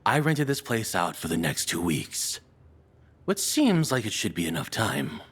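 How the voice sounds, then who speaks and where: A man playing a nervous, lovestruck kidnapper in an audio roleplay, apologetic and obsessive, speaking in halting, rambling stammers. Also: on the low side, wildly swooping pitch, a bit bright, breathy edge, exaggerated voice. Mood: awkward explaining. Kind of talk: intimate dramatic audio roleplay monologue.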